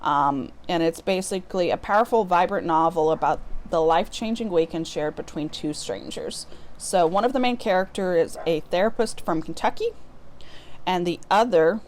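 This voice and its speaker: A woman's voice: on the low side, normal speed, darkish tone, slight background noise. A woman from the US Midwest chatting casually as a book vlogger, rambling and breaking off her own sentences as she goes. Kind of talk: casual unboxing vlog chat.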